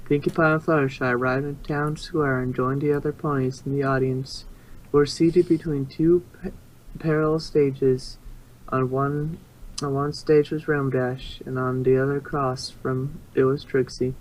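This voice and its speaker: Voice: mid-range voice, slight background noise, rough recording. A narrator reading a story aloud in an amateur fan fiction reading.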